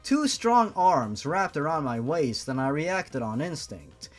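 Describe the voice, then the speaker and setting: A man's voice: on the high side, sing-song, slow, faint music behind. Sarcastic man reading a story aloud. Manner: sarcastic and reactive, swears freely. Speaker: story reader.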